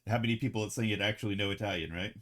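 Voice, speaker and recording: A man streaming live, chatting casually in a relaxed, rambling way while he paints models, laughing often. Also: fairly low pitch, rapid-fire.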